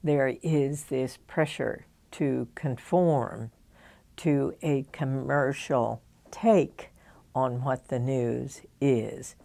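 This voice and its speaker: An older woman speaking as a media expert in a documentary interview, measured and deliberate with pauses. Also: a bass voice, gravelly, warm tone.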